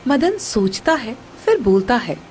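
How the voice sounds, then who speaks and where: Female story narrator, fairly high voice. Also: sing-song, unhurried, a little mellow, a little hiss or hum.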